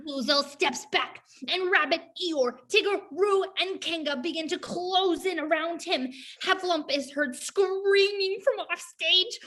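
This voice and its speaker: A woman's voice: very high-pitched, a little slow, hardly any pauses, fairly bright tone. A woman reading stage directions as scripted narration for a play.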